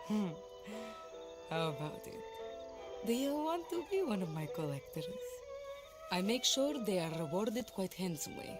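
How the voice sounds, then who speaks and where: An actress playing a mysterious, shrewd collector in a western video game, with measured, drawn-out, theatrical phrasing. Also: female voice, mid-range voice. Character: mysterious, shrewd woman collector recruiting treasure finders. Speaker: collector and merchant. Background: music.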